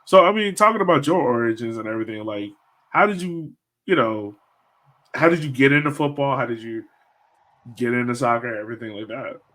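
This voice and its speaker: A man's voice: medium pitch, expressive, fairly quick, bright, forceful tone. An American man interviewing a guest as podcast host in informal, slangy talk with plenty of "man" and "yo". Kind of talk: casual interview chat.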